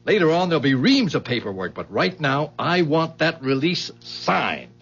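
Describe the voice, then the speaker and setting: An American actor playing a hot-tempered, bullying company boss in a 1970s radio drama. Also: male voice, on the high side, very animated, moderate pace, breathy edge, soft background music, full character voice. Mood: urgent, demanding. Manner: forceful, barking, domineering. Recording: muffled vintage radio broadcast.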